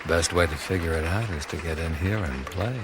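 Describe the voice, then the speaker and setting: An actor playing a suave, roguish gambler and cheat in a radio drama, with an insinuating, knowing delivery. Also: male voice, low-pitched, brisk, crowd noise, noisy background, lightly acted. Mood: coaxing, sly. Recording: music playing behind the voice.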